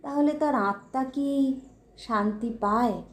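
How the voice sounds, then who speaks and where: Woman voicing a hushed, plaintive ghostly gentleman poet. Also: sits high, reverberant. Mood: plaintive, wistful. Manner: slow, halting, polite. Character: melancholy, secretive ghostly gentleman poet with unfulfilled longings. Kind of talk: dramatic audio ghost story.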